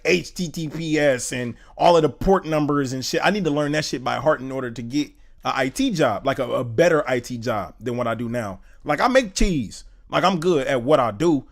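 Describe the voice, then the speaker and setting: A young man in his twenties from Indiana, chatting casually on a live stream, loose and slangy, reading chat aloud and thinking out loud. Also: medium pitch, animated, normal speed, few pauses. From Indiana, USA. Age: 20s. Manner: slangy, loose, says "bro" a lot.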